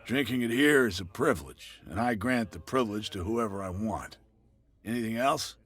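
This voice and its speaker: An actor playing a wary male barkeeper in a video game, cagey and matter-of-fact, with a rough, husky voice. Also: fairly low pitch, expressive, normal speed, a bit bright. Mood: guarded. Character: wary, guarded male barkeeper passing on local rumors. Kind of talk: video game cutscene dialogue.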